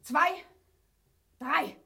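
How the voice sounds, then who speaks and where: Scornful high-pitched wife. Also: female voice, very slow, creaky, pushed and bright, full character voice. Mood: defiant. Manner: mocking, theatrical, sometimes drawn out. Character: scornful, defiant East German wife, bitterly sarcastic. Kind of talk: grotesque radio play acting.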